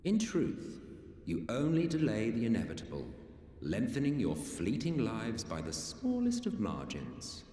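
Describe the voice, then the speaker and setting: An actor playing an ancient immortal villain in a video game, theatrical and condescending, with formal archaic phrasing. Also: male voice, medium pitch, wildly swooping pitch, unhurried. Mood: smug condescension. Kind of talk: dramatic video game acting. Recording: echoey hall, distant, loud music behind.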